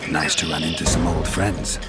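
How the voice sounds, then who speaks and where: An actor playing a gruff, dry-witted monster hunter in a video game, laconic and deadpan, with a low gravelly voice. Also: male voice, moderate pace, over loud music. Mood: terse, businesslike.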